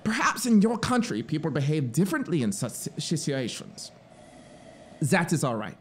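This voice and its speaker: A man's voice: fairly high pitch, sing-song, moderate pace, a little hiss or hum. A man voicing a fanatical, scheming officer in a dramatic story reading, pleading and grandiose, with a theatrical, urgent manner. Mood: fervent, exalted, then coaxing. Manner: theatrical, urgent, swinging between hushed asides and exclamations.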